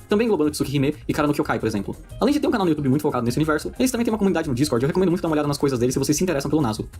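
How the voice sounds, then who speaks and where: Very fast-talking Brazilian man reviewing, slightly high-pitched. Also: a little mellow. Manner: informal, sweary, persuasive. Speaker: video essayist. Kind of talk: scripted video review with casual asides.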